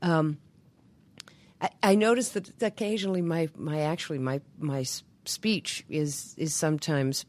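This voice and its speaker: Woman, fifties, very low voice, smoky, husky, halting interview answers. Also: a little mellow. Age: 50s. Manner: halting, many restarts, laughs often. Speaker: stage actor. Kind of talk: interview guest.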